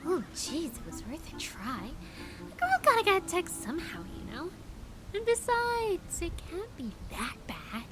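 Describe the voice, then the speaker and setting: A mischievous young female adventurer in an audio drama. Her voice is extremely high and swoops about, brash and cheeky, over clearly audible music. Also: huge pitch swings, a little slow, exaggerated voice. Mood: sulky, questioning. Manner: cheeky, colloquial. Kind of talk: fan audio drama acting.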